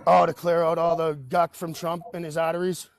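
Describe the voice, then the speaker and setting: A man in his forties with a Boston accent, calling into a talk show and rambling in an animated way with frequent restarts. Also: a little high, fairly quick, gravelly, slight background noise. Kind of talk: casual talk-show call.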